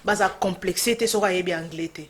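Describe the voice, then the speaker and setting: A man's voice: sits high, fast talker, fairly bright tone. A man from Congo joining a casual debate, often murmuring short agreements and then making his own points. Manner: frequent short backchannel murmurs. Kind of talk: casual debate in French and Lingala.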